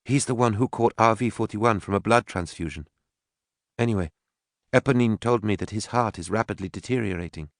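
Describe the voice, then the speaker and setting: A man's voice: on the low side, fairly quick, dry recording, clean studio sound, pitched above the performer's own voice, close to an everyday voice. Man voicing an eager, insistent young daughter. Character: earnest, persistent young woman, a caring daughter.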